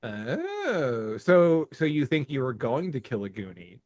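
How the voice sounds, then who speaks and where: Lively, laughing male podcast co-host. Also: medium pitch, takes it slowly, no echo, clean studio sound. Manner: animated, laughs often, restarts sentences. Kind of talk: casual chat.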